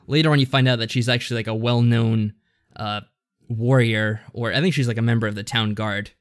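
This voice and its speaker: A man's voice: mid-range voice, steady tone, fairly quick. Man talking casually, hesitant and rambling. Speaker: podcast co-host. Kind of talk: casual discussion recapping a visual novel.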